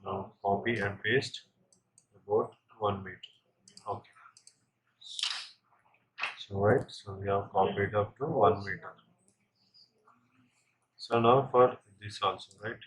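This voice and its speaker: A man giving a step-by-step software tutorial in a halting way, often checking in with the listener. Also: on the low side, steady tone. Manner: halting, with frequent check-in tags. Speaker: software instructor. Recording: noisy, with keyboard typing and clicks.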